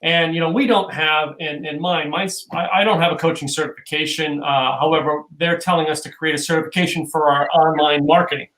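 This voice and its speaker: A man's voice: on the high side, fairly even intonation, a little fast, few pauses, fairly bright tone, low-quality recording. Rambling, joking male host. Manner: rambling, joking, many fillers. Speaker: show host. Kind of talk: casual video-call interview. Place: loft room at home.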